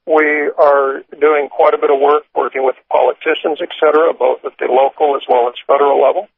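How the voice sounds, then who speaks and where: A man with an American accent speaks as a company executive addressing investors. His voice sits a little high and crackles with gravelly fry. It comes through a thin, tinny telephone line. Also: little pitch movement, a little fast, dry recording. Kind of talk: corporate presentation, reading prepared remarks and answering questions.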